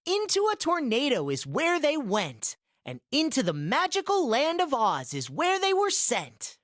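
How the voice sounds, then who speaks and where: A woman narrates rhyming verse for a children's game in a bouncy, sing-song style. Her pitch leaps widely and sits a little high. Her delivery is slow and the sound is dry and close. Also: wildly swooping pitch, no room sound. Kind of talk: scripted rhyming storybook narration.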